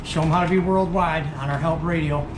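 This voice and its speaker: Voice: medium pitch, fairly even intonation, a little fast. Casting: folksy how-to host, casual and repetitive for beginners, recorded in a roomy space with background noise. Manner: folksy, repeats points for beginners. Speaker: host demonstrating radio gear. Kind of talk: casual hands-on tutorial.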